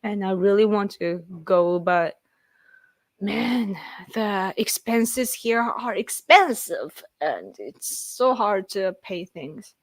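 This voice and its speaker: A woman's voice: mid-range voice, lively intonation, slow pace, no echo. A Japanese woman in her late thirties with a Japanese accent, chatting casually on a live stream and laughing often as she answers viewers. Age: late 30s. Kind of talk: casual chat.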